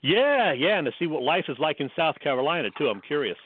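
A man's voice: on the high side, very animated, quick pace, slightly rough, dry recording. A middle-aged man co-hosting a sports radio show, delivering talk and commentary. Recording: narrow phone-like radio sound.

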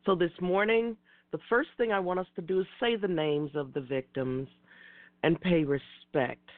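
A woman hosting an internet radio talk show, slightly hoarse and raspy from a cold, speaking in a measured way with repeated greetings. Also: fairly low pitch, dry recording. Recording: tinny phone line.

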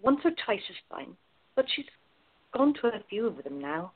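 A woman's voice: medium pitch, very animated, moderate pace, slightly rough. An actress playing a wry, protective woman with a blunt, teasing manner in radio play dialogue. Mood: concerned. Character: wry, protective woman, devoted to her girlfriend. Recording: narrow phone-like sound.

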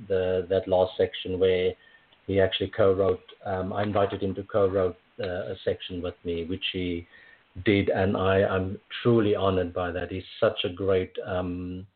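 Very deep-voiced man on a phone line. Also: level tone, unhurried. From South Africa. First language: Afrikaans. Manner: hesitant, many fillers and restarts, laughs often. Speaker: poet guest. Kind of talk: conversational interview and poetry reading.

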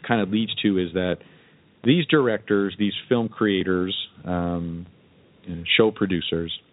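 A man hosting a casual podcast chat, halting as he searches for words. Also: fairly low pitch. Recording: dry, narrow phone-like sound.

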